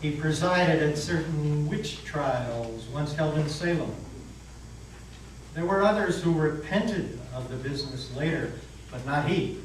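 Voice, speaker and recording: A man plays a sinister stranger who is the Devil, measured and sardonic. His formal stage delivery is unhurried, recorded from afar in a very reverberant room with clear noise. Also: a little high, close to an everyday voice. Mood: coolly sardonic. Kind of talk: dramatic stage reading. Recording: very echoey hall, distant, noisy.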